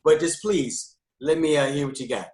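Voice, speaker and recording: A man's voice: fairly high pitch, moderate pace, darkish tone, reverberant. A man guest-hosting a live online talk show, upbeat and loose, with many fillers. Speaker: guest host.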